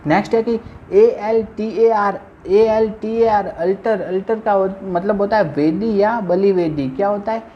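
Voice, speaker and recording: A woman's voice: mid-range voice, unhurried. A woman teaching English vocabulary for an exam. She spells words out letter by letter, then asks and answers her own questions. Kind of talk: exam-prep vocabulary lesson. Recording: dull lo-fi sound with faint noise.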